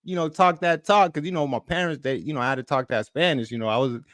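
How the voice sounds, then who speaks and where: A middle-aged man, co-hosting a podcast. His voice sits slightly high and sounds dry and close, and he answers the others with quick, agreeable slang. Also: fairly bright tone, no room sound. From Boston. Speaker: podcast co-host. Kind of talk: casual podcast banter.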